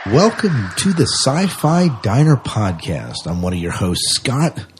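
A man's voice: mid-range voice, very animated, measured pace. A man co-hosting a podcast in casual, unscripted chat, often repeating his phrases. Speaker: podcast co-host.

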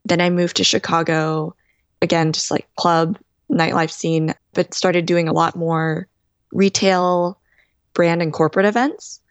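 A woman in her thirties, a DJ, answering interview questions in a measured, explanatory way. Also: lowish voice, flat delivery, smooth, no room sound. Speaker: DJ and guest.

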